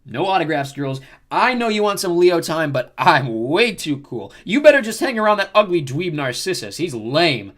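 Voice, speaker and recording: A man's voice: high-pitched, big pitch swings, fairly quick, fairly bright tone, pitched above the performer's own voice. A man voicing a cocky, hyperactive teenage boy in an audiobook, brash and swaggering as he shows off. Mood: swaggering, shouting. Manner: swaggering, brash, exaggerated bragging. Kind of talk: audiobook character voice.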